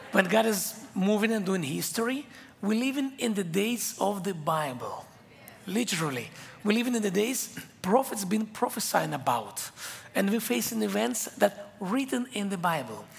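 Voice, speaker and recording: Israeli man, non-native English, preaching with heavy vocal fry and booming repetition; church hall with long reverb. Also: fairly bright tone. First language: not English; English is his third language. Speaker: guest preacher. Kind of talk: sermon. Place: church sanctuary.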